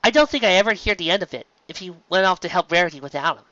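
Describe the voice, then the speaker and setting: Man playing a serious female pony leader, firm, measured, slightly high, bright, storybook reading. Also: animated, no room sound, muffled recording. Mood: reasonable.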